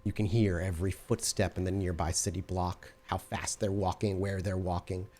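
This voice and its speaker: A man's voice: low voice, flat delivery, moderate pace, darkish tone, slight background noise. A man running a tabletop role-playing game as storyteller, narrating scenes and answering players, often restarting his sentences. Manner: descriptive, restarts mid-sentence.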